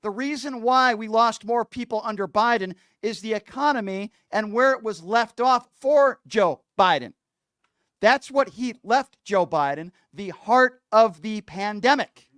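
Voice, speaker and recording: A male talk radio host with a notably high, clear voice. He argues combatively and sarcastically, scolding like someone sparring with a caller. The sound is dry and close. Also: a little slow, fairly bright tone, no room sound. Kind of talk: political call-in talk show commentary.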